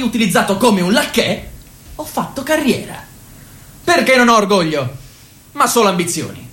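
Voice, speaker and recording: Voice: mid-range voice, fairly bright tone, echoey room, close to an everyday voice. An actor playing a crude, ambitious, boot-licking party minister in a radio drama, declaiming in folksy proverbs. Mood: self-abasing. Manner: declamatory, folksy, repeatedly addressing comrades. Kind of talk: theatrical radio drama monologue.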